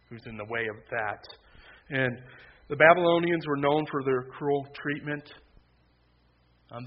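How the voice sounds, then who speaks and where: A man preaches in a conversational, loud voice that keeps to a narrow, flat line but jumps up in emphatic bursts. The recording is slightly dull, with faint noise behind him. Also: medium pitch. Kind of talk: sermon.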